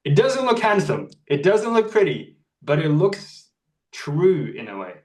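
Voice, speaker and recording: A man's voice: fairly high pitch, very animated, measured pace, darkish tone, echoey room, recorded from a distance, rough recording. A young man from Norway, an actor talking earnestly in a casual interview, often restarting his phrases. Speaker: actor and model, interview guest. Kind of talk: reflective casual conversation.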